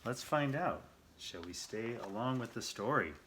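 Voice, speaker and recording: A man reading a children's picture book aloud as host, playful and theatrical, with expressive asides and questions. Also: medium pitch, normal speed, a little hiss or hum. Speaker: storyteller, host of a read-aloud show.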